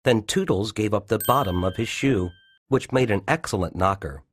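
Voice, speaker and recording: A man's voice: lowish voice, moderate pace, breathy edge, no echo. A narrator, a man, reading a scripted children's story.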